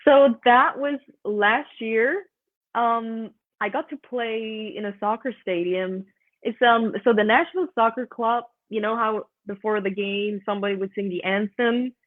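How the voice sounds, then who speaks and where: A woman's voice: a little high, steady tone, measured pace. A young woman with a Swiss German accent, a guest musician talking in a chatty way and laughing often. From Switzerland. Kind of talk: casual interview chat. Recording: tinny phone-like line.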